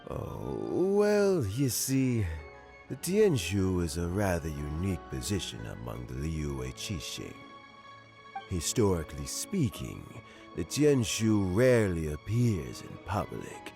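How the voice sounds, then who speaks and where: An actor playing a frail, kindly old statesman who speaks slowly, formally and with courtesy, in a game cutscene. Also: big pitch swings, dark tone, faint music behind, full character voice. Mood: courteous. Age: older. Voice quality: gravelly and low. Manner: slow, measured, courteous. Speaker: retiring elder statesman. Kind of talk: video game cutscene dialogue.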